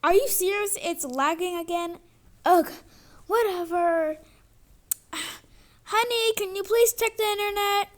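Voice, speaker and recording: Woman playing exasperated online teacher, very high voice, slow swinging delivery, amateur cartoon skit, dramatic. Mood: fed up. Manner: exasperated, sighing, dramatic swings from calm to outburst. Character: exasperated teacher running an online class, losing patience. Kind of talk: amateur animated-skit voice acting, one side of dialogue. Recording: clicky home recording with background noise.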